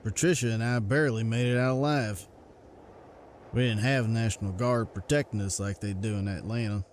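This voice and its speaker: A man voicing a gentle, guilt-ridden rural hunter in a motion comic, with folksy, plain speech. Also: on the low side, steady tone, moderate pace, slight background noise, natural voice. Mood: troubled, recounting. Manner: folksy, ungrammatical country speech. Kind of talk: comic book voice acting.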